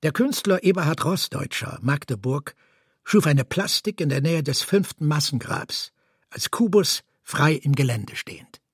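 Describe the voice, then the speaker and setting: A man with a husky voice reading formal, factual scripted narration in a documentary style. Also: on the high side, lively intonation, unhurried, no room sound, studio quality.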